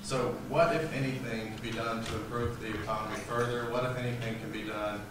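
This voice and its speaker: A soft-spoken man moderating a debate, explaining the rules and reading questions with frequent fillers. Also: medium pitch, steady tone, brisk. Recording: echoey hall, from far off, noisy.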